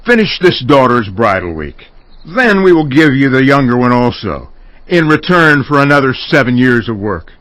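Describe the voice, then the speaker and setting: An actor playing a shrewd, hearty older uncle and patriarch, declaiming with assurance in a dramatized audio Bible. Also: male voice, medium pitch, sing-song, moderate pace, fairly bright tone, no room sound. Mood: firm, bargaining.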